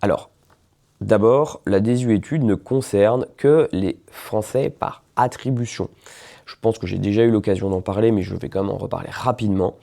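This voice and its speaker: A man's voice: lowish voice, normal speed, gravelly, dark tone. A man, a lawyer, explaining a legal topic in an informal video, talking conversationally with frequent hesitations and check-ins with the listener. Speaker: lawyer explaining nationality law.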